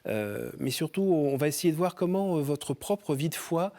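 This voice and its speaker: A man hosting a spiritual talk show in a television studio, introducing his guest and asking questions with frequent pauses. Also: fairly high pitch, expressive, dark tone. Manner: halting, many filler pauses.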